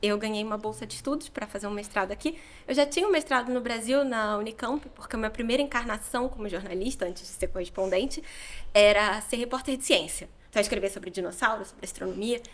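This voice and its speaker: A woman's voice: medium pitch, quick pace, a bit bright. A woman in her thirties from Rio de Janeiro, with a carioca accent, talking casually as a journalist guest on a podcast, telling her story in a chatty, self-deprecating way. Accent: Rio de Janeiro Brazilian Portuguese. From Brazil, Rio de Janeiro. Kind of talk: relaxed interview conversation.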